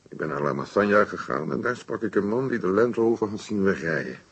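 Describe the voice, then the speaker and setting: An actor playing an earnest, plain-spoken male sidekick in a radio play, gravelly and husky. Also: on the low side, animated, a little fast, dull audio.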